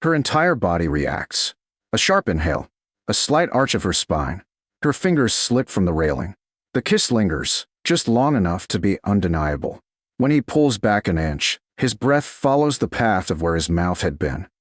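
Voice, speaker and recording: A man narrating scripted romance fiction slowly and dramatically, in measured, deliberate phrases. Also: low voice, big pitch swings, dry recording, clean studio sound.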